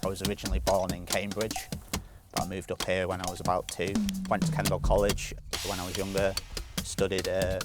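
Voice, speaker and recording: A man's voice: sits low, completely flat delivery, brisk. A man, a session drummer introducing himself plainly and matter-of-factly in a documentary interview. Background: loud music.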